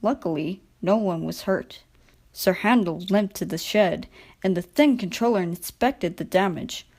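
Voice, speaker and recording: A woman narrating a children's story from a script in an expressive, deliberate storytelling manner. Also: lowish voice.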